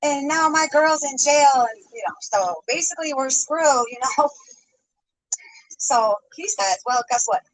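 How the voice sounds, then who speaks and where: A woman's voice: sits high, expressive, measured pace, poor audio. A woman telling a rambling story, hedging often and breaking into little laughs. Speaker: storytime YouTuber.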